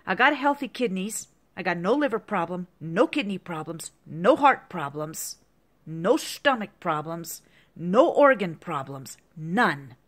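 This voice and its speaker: A woman's voice: fairly low pitch, sing-song, a little slow, fairly bright tone. A woman in her fifties in an agitated, repetitive rant to the camera, heavy with profanity. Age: 50s. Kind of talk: angry monologue to camera.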